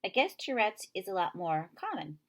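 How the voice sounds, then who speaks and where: A woman voicing a chatty, persuasive young girl in a children's novel read aloud, eager and quick to blurt things out. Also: medium pitch, very animated. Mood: insistent.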